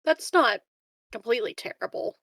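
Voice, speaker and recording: A woman's voice: on the high side, lively intonation, moderate pace, pushed and bright, dry recording, studio quality. A woman playing a tabletop roleplaying game, chatting casually and tentatively in character. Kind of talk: casual chat, speaking in character.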